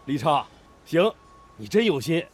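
A man acting a friendly, excitable young factory workmate in a radio drama, chummy and exclamatory. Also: a little high, a little slow, breathy edge, exaggerated voice. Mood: admiring. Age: young adult.

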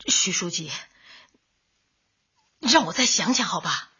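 An actress playing a stubborn but soft-hearted widowed mother in a Chinese radio drama, with a husky, emotional voice. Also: fairly low pitch, animated, slow pace, muffled recording, full character voice. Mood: reluctant, softening. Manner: emotional, sighing.

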